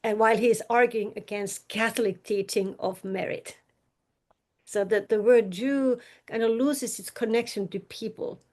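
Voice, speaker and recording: Finnish-accented woman scholar, thoughtful and halting. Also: fairly high pitch, fairly even intonation, darkish tone. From Finland. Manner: thoughtful, halting, frequent restarts, light laughs. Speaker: scholar and teacher. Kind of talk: academic podcast discussion.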